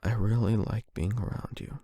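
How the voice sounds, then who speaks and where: A man performing an intimate confession roleplay as a nervous, lovestruck young man, speaking in a halting, self-doubting, conversational way into a close microphone. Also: fairly low pitch, fairly even intonation, warm tone, breathy edge, no room sound. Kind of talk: emotional confession roleplay, ASMR-style monologue.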